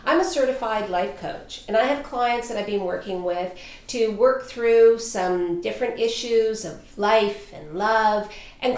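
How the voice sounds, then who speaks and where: Polished talk-show host woman, echoey studio. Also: a little high. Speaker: host and life coach. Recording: echoey room.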